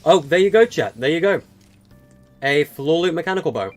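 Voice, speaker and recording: A man's voice: on the high side, lively intonation, moderate pace, faint music behind. An eighteen-year-old man streaming a game live, chatting loosely with viewers, with many fillers and stutters. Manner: rambling, with frequent fillers and stutters. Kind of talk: casual live-stream chat answering viewers.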